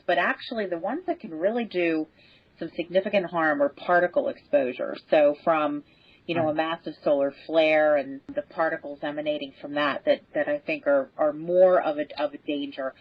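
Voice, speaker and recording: Low, husky woman explaining. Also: dry recording. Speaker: expert guest on a podcast. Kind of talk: unscripted panel discussion, explanatory. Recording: dull, band-limited audio.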